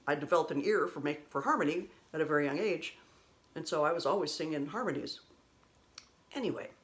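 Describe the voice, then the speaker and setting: A woman with a low voice telling rambling stories in a casual, chatty way, laughing now and then. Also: a bit bright. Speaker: vlogger. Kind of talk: casual storytelling.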